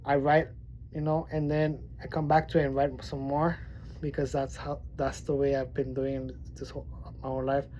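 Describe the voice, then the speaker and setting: A man's voice: medium pitch, monotone, moderate pace, mellow, a little hiss or hum, low-quality recording. A man from Miami with a mild speech impediment, chatting casually in a poetry vlog with plenty of restarts and fillers. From Miami, Florida. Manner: rambling, with many restarts and fillers. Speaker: poetry vlogger.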